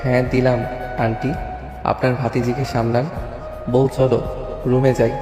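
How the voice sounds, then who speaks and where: A man's voice: medium pitch, moderate pace, natural voice. A man playing a cool, stubborn, protective young husband in an audio story drama, speaking firmly and tersely. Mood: stern. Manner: flat, firm, terse. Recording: echoey reverberant hall, music underneath.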